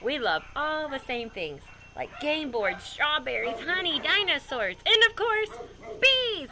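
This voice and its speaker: A woman reading a children's picture book aloud in a goofy, expressive way with chatty asides. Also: sits high, a little slow, crowd noise. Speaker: storybook reader.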